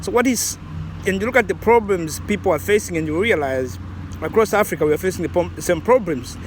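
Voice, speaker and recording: A man in his late thirties with a fairly high-pitched voice. He muses in a loose, reflective way, circling back and restarting his thoughts. Faint traffic hums behind him outdoors. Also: animated, a little fast. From Zambia. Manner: reflective, rambling, many restarts. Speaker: vlogger. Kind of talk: casual vlog commentary.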